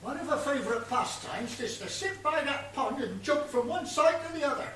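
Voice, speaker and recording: Comic stage role: rambling gardener actor, high voice, stammering, earnest, humble, literal-minded; heard in a very reverberant hall. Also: animated, normal speed, fairly bright tone. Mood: eager, earnest. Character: rambling, muddled, literal-minded estate gardener being questioned. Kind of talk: comic stage play acting. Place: stage of a small theatre. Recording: distant echoey theatre recording, faint noise.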